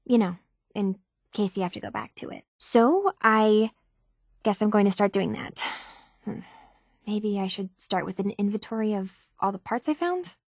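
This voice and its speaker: A woman's voice: mid-range voice, lively intonation, moderate pace, darkish tone, no echo, studio quality. An actress playing an earnest young woman tinkerer searching for her identity in a video game, speaking in a natural, slightly hesitant conversational way. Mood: chatty, tinkering. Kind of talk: naturalistic game dialogue acting.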